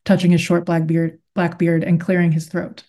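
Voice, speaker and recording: A woman's voice: on the low side, monotone, moderate pace, mellow. A woman author speaking as a guest and reading literary fiction aloud. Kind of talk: interview talk and read-aloud of literary fiction.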